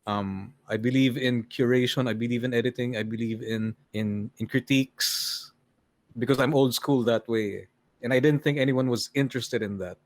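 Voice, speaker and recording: An older man with a Filipino accent, a podcast guest talking in a deadpan way and mixing English with Tagalog in casual chat on a video call. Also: medium pitch, moderate pace, dry recording. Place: home room on a video call.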